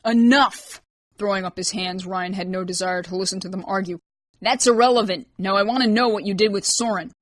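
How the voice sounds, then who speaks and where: A woman's voice: medium pitch, fairly even intonation, quick pace, lightly acted. Woman doing a stern commanding young man. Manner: clipped, commanding.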